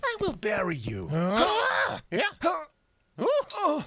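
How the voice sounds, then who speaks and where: An actor playing an aggressive male warrior in a fantasy video game, with menacing shouts and effortful grunts. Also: very high-pitched, huge pitch swings, extremely slow, heavy background noise, heavily acted. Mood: battle fury. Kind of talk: video game combat barks.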